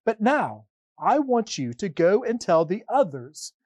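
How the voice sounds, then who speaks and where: A man reading the part of a gentle, comforting risen saviour in a children's storybook, calm and assured. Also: high voice, a little slow, dry recording, exaggerated voice.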